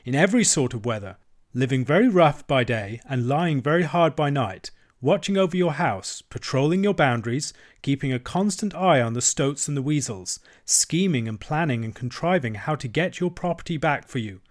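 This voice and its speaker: A man's voice: a little high, sing-song, normal speed, voice pushed up. A man voicing the Water Rat, a cross but kind-hearted male rat who scolds his friend. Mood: scolding. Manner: scolding, reproachful.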